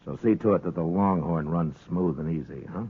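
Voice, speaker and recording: An actor playing a terse, authoritative frontier marshal with an American accent in a 1950s radio western. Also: male voice, low-pitched, brisk, breathy edge, narrow, tinny audio. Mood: stern, warning. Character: stern, laconic frontier town marshal, steady authority. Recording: thin old radio broadcast recording.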